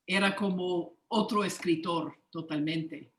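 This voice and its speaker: A woman's voice: lowish voice, slow pace, roomy sound. A woman on a discussion panel, speaking hesitantly with frequent fillers.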